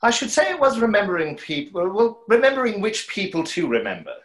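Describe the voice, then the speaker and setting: A man's voice: high voice, huge pitch swings, fairly quick, a bit bright, exaggerated voice. A young man playing a suave, wry, boastful conjurer in a play reading over a video call. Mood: grandly boastful. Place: room at home, video call.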